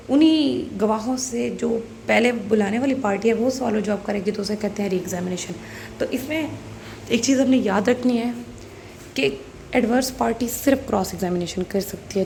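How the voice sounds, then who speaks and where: A woman's voice: mid-range voice, vocal fry, a little mellow, slight background noise. A woman with a Pakistani accent lecturing on law in an instructive way, reading out clauses and then explaining and repeating the key points.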